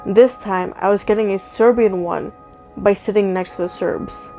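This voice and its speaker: A woman's voice: mid-range voice, steady tone, normal speed, dark tone, faint music behind, low-quality recording. A woman reading a first-person story aloud in a casual, conversational way. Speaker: story narrator.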